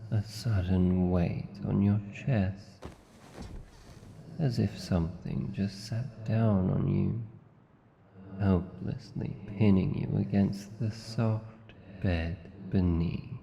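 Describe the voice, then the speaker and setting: A low, gravelly Australian male demon played by a man speaks unhurriedly in an intimate ASMR roleplay, swathed in long reverb. He is a menacing night creature with an awkwardly tender streak. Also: steady tone, slow pace, mellow, heavily acted. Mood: menacing, gloating. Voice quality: deep, gravelly. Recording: slightly dull, long reverb.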